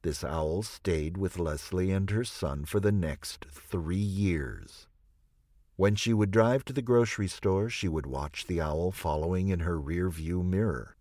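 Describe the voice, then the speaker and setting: A man's voice: low voice, unhurried, darkish tone, no room sound, studio quality. A man reading a nonfiction audiobook as a scripted narration.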